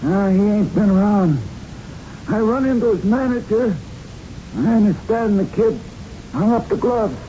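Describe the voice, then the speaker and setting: High-voiced, grumbling old gym owner. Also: male voice, expressive, measured pace, pauses often, mellow. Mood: weary. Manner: world-weary, grumbling, streetwise colloquial. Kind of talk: radio drama acting. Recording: muffled old radio broadcast, faint rumble.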